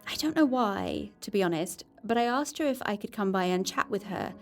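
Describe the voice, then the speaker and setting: A woman's voice: mid-range voice, animated, a little fast, soft background music, natural voice. A woman playing a curious, candid podcast reporter in an audio drama, conversational and dryly observant. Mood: matter-of-fact, wry. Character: curious, candid female podcast reporter narrating her investigation. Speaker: reporter and narrator. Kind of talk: scripted first-person podcast narration.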